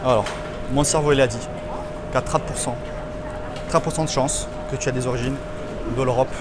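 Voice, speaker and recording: A young man chats up strangers outdoors in a city, cocky and teasing as he rambles on, his voice sitting at an ordinary middle pitch. Heavy traffic roars loudly around him the whole time. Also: fairly even intonation, moderate pace. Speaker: street prank host. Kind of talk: hidden-camera street pickup banter. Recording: loud street traffic noise.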